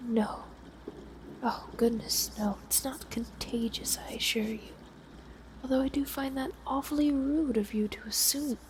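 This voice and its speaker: A woman playing an elegant, lonely vampire countess in an ASMR roleplay, with a soft, breathy whisper and a formal, genteel manner. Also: fairly high pitch. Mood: gracious, lonely. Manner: formal, genteel, old-fashioned phrasing. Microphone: close binaural microphone. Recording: close binaural whisper with audible hiss.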